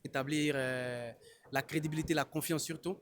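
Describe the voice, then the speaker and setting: A man's voice: a little high, fairly even intonation, moderate pace, a crowd in the background. A man from Côte d'Ivoire, a fruit exporter, answering interview questions at a trade fair in a hesitant, chatty way with frequent restarts. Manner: hesitant, restarts often, laughs easily. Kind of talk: casual spoken interview. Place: busy trade fair hall.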